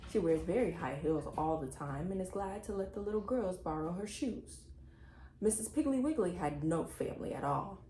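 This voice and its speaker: A woman reading a children's chapter book aloud as an expressive narrator. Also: on the low side, normal speed, echoey room, a little hiss or hum, low-quality recording.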